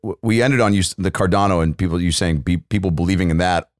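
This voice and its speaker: A man's voice: low voice, fast, a little mellow, smooth, no echo, clean studio sound. A young male comedian co-hosting a podcast, riffing on tangents and acting out little bits in casual banter.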